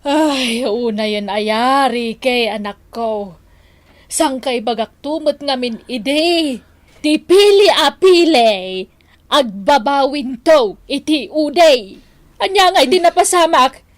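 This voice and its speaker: A nagging Ilocano mother of a grown son in a radio drama. She scolds in a high, slow voice with drawn-out exclamations. The sound is close and dry. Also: expressive, no room sound. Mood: reproachful. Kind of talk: Filipino radio drama acting.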